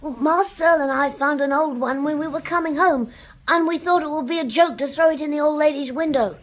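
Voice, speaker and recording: A woman's voice: sits high, brisk, close to an everyday voice, low-quality recording. A woman playing an anxious, evasive village schoolboy in a radio drama, hesitant, naive and defensive. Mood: sheepish, confiding.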